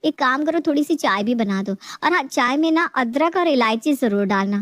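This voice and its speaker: A woman playing a put-upon, scheming young daughter-in-law in an animated moral story, with a plaintive, exaggerated delivery. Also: high voice, moderate pace, dry recording, studio quality. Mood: smugly demanding.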